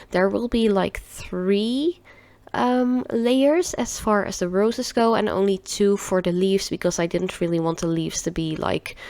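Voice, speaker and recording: A woman's voice: medium pitch, a little mellow, no room sound. A Dutch woman in her thirties with a stuffy, nasal voice from a cold, chatting casually through a nail art tutorial in a rambling way. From Netherlands. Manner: rambling, chatty, laughs often.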